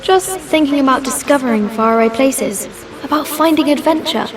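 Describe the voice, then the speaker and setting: A woman's voice: sits high, moderate pace, over music, lightly acted. A woman voicing an earnest, curious little girl in game dialogue. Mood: dreamy.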